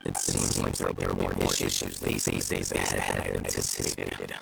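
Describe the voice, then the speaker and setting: A man playing a stern, principled lawman in an anime game, speaking in a formal, deliberate, severe manner. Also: very deep voice, level tone, gravelly, faint music behind, exaggerated voice, poor audio. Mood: suspicious, grave.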